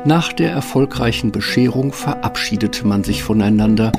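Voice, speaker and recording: Guy narrating a fairy tale, gravelly voice, music behind him. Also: fairly low pitch, moderate pace.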